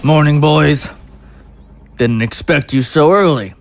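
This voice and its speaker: A man playing a tough military drill instructor in an audio drama, barking clipped commands in a gravelly voice. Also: a little high, slow pace, no echo. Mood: brisk, commanding.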